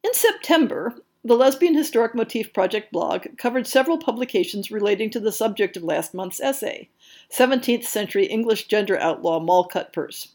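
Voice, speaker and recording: A woman hosting a podcast, reading scripted announcements in an articulate, measured way with emphatic stresses. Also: mid-range voice, animated, darkish tone. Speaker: podcast host.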